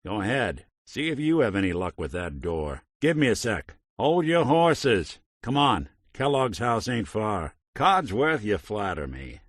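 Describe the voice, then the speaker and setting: An actor playing a world-weary male synth private detective in a video game, with a gravelly voice and dry, wry noir delivery. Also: on the low side, very animated, a little slow, hardly any pauses, dry recording. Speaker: companion character.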